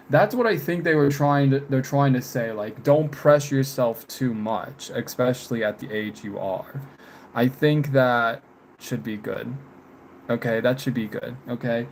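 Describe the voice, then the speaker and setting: A man in his mid-twenties chatting casually on a live stream, halting and reflective, often trailing off. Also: medium pitch, darkish tone, slight background noise. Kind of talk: casual live stream chat answering viewers. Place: room with window blinds, indoors.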